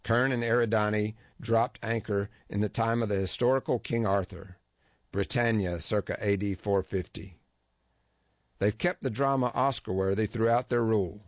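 A man narrates a first-person feature story with a wry, dry humor. His voice sits on the low side. The recording is dull and lo-fi, with a close, dry sound and no room echo. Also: steady tone, normal speed.

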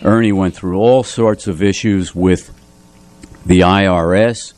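A middle-aged man chatting casually as a community radio host, pausing to recall details as he reminisces. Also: low-pitched, steady tone, a little mellow. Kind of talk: casual studio conversation. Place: radio studio, dry sound.

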